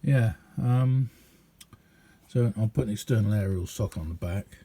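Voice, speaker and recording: Husky, mellow man rambling casually. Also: fairly low pitch. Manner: hesitant, unhurried, chatty. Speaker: radio hobbyist. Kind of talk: casual hands-on demonstration, rambling.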